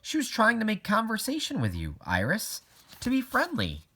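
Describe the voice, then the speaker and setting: A man voicing a firm, patient woman school principal in a children's audiobook, measured and explanatory. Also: sits high, huge pitch swings, bright, forceful tone, slight background noise, pitched above the performer's own voice, heavily acted. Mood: patient, explaining.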